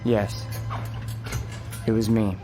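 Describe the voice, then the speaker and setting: Death in a radio drama as a calm, gentle man. His voice sits a little low, measured and quietly insistent. Soft music runs faintly behind him. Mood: gentle, regretful.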